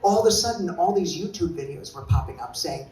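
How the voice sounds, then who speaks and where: A man designer on a panel, telling a story in an animated way and acting out what people said. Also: on the high side, brisk, roomy sound, far from the mic, rough recording.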